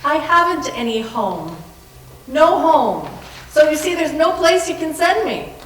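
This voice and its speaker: A woman's voice: sits high, sing-song, a little slow. A woman performing a fragile, desperate young woman in a dramatic readers theater piece, earnest and emotive. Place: large library room, live staged reading. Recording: distant echoey room, noisy live recording.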